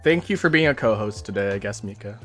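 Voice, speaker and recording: A man hosting a casual livestream chat, speaking softly and often trailing off mid-thought. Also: lowish voice, expressive, fast talker, faint music behind. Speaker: streamer host.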